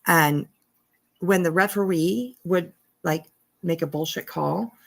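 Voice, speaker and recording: Slow-talking woman with a creaky voice. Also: lowish voice. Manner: giggly, irreverent, swears. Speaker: livestream host. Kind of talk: casual commentary, reading court papers aloud.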